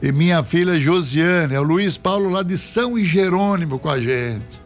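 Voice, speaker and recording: A man's voice: on the high side, expressive, normal speed, faint music behind. A man with a Rio Grande do Sul accent hosting a casual radio show, reading listener messages in a jokey, chatty way and laughing easily. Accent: Rio Grande do Sul Portuguese. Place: radio studio.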